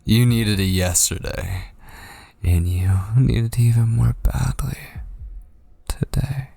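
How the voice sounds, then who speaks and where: A man acts out a possessive male kidnapper in an intimate ASMR audio drama. His breathy voice moves extremely slowly and often sinks toward a whisper, with a gravelly edge. He is patient, coaxing and menacingly tender, very close to the microphone in a dry space. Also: medium pitch, dark tone, no room sound, exaggerated voice. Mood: taunting, insistent. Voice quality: breathy, husky. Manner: slow, coaxing, menacingly tender, lingering on phrases. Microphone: close studio microphone.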